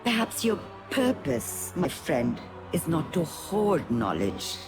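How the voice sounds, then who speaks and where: Very low-voiced, sly elusive schemer. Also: female voice, very animated, full character voice. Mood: probing, coaxing. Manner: smooth, insinuating, measured. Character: sly, elusive woman broker feigning friendship. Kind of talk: video game cutscene acting. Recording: music behind the voice. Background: game score music.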